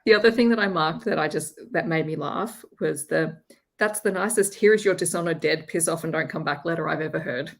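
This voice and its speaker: A woman's voice: deep voice, a little fast, creaky. A woman talking casually as a panelist in a live book club chat.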